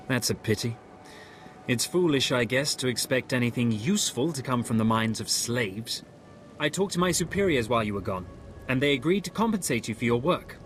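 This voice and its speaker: An actor playing a formal junior military officer in a video game, deferential to superiors and disdainful of inferiors. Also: male voice, medium pitch, animated, normal speed, faint music behind, close to an everyday voice. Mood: polite, dry. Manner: polite, formal, obsequious. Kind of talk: video game dialogue acting.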